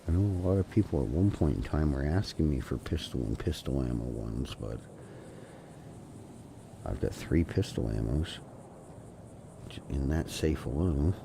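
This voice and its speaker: Very deep gravelly man with a stuffy nose. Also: expressive, a little slow, pauses often, background noise. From Canada. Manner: mumbling, talks to himself and the game. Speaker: gaming streamer. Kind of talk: casual solo game commentary.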